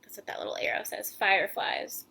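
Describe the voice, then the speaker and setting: A woman's voice: fairly quick, pushed and bright. A woman hosting a children's story time, reading a picture book aloud expressively and adding chatty, casual explanations for young listeners. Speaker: host and children's story reader.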